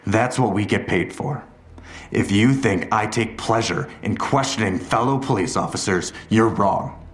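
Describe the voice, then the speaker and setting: An actor playing a ruthless, controlled police detective in an audio drama, speaking in a measured, coldly threatening way. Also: male voice, lowish voice, fairly bright tone, reverberant, natural voice.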